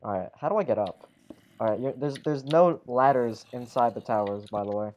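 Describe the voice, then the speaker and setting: A man's voice: medium pitch, darkish tone, muffled recording, slight background noise. A man playing a video game with friends, rambling and correcting himself as he talks. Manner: rambling, self-correcting, quick exclamations. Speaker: game player. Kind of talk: casual gaming chat.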